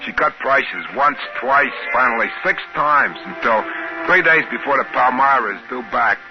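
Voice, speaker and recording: An actor playing a plain, folksy trading-post worker who also narrates a 1950s science fiction radio drama. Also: male voice, mid-range voice, lively intonation, moderate pace, natural voice. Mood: wry storytelling. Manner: folksy, colloquial. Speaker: narrator and character. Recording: muffled old radio broadcast with music.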